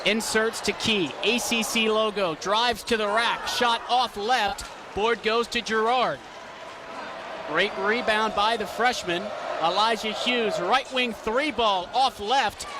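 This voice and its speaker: High-voiced young male play-by-play sports announcer. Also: slow pace. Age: young adult. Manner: clipped, announcer-like phrasing. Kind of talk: live sports reporting and radio play-by-play commentary. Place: basketball arena broadcast. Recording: noisy arena, crowd behind the voice. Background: crowd noise, bouncing basketball.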